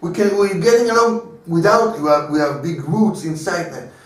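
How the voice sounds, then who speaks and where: A rabbi teaching a Torah class, telling stories and acting out the voices of the people in them. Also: male voice, high-pitched, moderate pace. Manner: storytelling, acting out voices in dialogue. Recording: very echoey room, mic far away.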